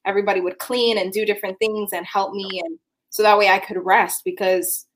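A woman's voice: medium pitch, fairly even intonation, fairly quick, slight background noise, poor audio. A woman hosting a live stream interview who talks casually and uses many "like" fillers.

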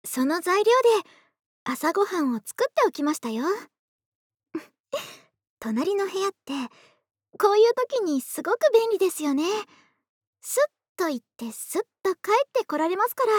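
A woman playing an affectionate, polite young neighbor in an ASMR voice drama, speaking in a soft, intimate, gentle murmur close to the mic. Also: very high voice, animated, unhurried, long pauses, dry recording. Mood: gentle, cheerful. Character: affectionate, polite young adult woman next door, tipsy and doting. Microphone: close dry studio microphone.

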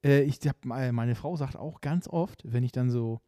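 A man's voice: mid-range voice, normal speed, mellow, dry recording, clean studio sound. A man co-hosting a casual podcast, telling rambling stories full of hesitant fillers. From Bremerhaven, northern Germany. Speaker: podcast co-host.

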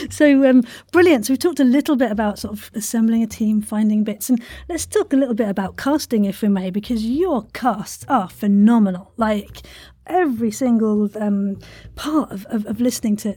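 A woman's voice: mid-range voice, mellow, no echo. A woman hosting a casual, chatty interview podcast, effusive and encouraging toward guest and listeners.

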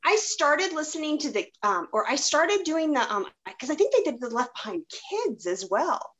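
Lively, fairly high-voiced woman chatting. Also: moderate pace. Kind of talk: casual podcast chat.

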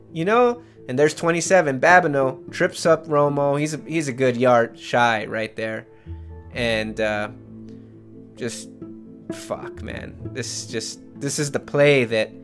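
A man's voice: a little high, pushed and bright. A man hosting a sports podcast, recounting football games in a casual, opinionated, slangy way like an emotional diehard fan.

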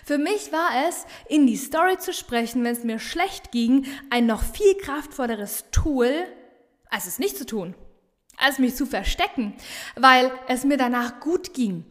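A woman with a regional dialect talks with bright, emphatic energy in a fairly high voice, hammering home her points by repeating them. She hosts a motivational business podcast, and her voice rings on in a long reverb. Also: expressive, a little slow. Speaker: business coach and podcast host. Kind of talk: motivational solo podcast talk.

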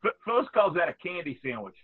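A man from the Boston area co-hosting a podcast, chatting casually, animated and argumentative, laughing often, heard over a call-in line. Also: sits high, quick pace, husky. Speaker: podcast co-host. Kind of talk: casual banter. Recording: tinny dry phone-like line.

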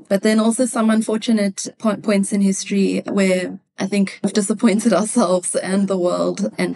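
A woman with a South African accent from Cape Town, an economist answering interview questions in a thoughtful way, often pausing to find her words. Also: medium pitch, a little mellow, rough recording. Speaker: guest, economist.

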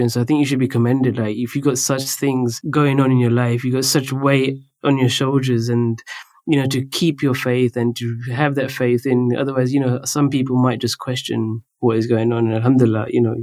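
A man's voice: medium pitch, darkish tone. A man co-hosting a casual interview podcast, speaking in a supportive, affirming way. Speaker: podcast co-host.

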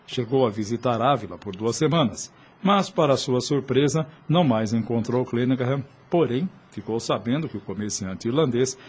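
A man narrating a radio drama in a measured, solemn way. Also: medium pitch, expressive, slightly rough.

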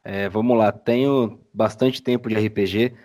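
A man's voice: on the low side, steady tone, normal speed, darkish tone. A man with a São Paulo Brazilian accent, chatting casually as a guest on a podcast. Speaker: podcast guest, audio editor. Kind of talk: casual chat.

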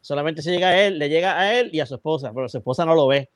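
A Puerto Rican man on a podcast, his voice a touch higher than most men's, talks through a movie's story in loose, rambling chat and keeps restarting his sentences. The sound is close and dry, with no room around it. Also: racing, no room sound. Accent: Puerto Rican Spanish. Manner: rambling, chatty plot retelling with many restarts. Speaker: podcast co-host. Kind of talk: casual film review chat.

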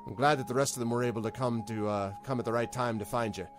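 A man's voice: mid-range voice, steady tone, fast talker, soft background music, close to an everyday voice. A man doing a blunt stern commander.

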